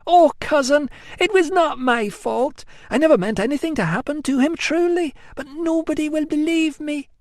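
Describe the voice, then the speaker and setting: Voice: high-pitched, moderate pace, dry recording, clean studio sound, pitched above the performer's own voice. A narrator voicing a self-pitying young Roman woman who pleads and complains in tearful bursts. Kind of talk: audiobook acting.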